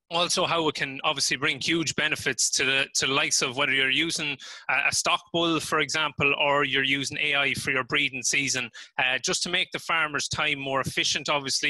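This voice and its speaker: Irish guy on a video call, bright forceful voice, dry sound, lots of ums. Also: mid-range voice, dry recording, low-quality recording. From Ireland. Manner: hesitant, many fillers and restarts. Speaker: guest speaker from a farm technology firm. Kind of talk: live webinar presentation. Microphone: remote video call.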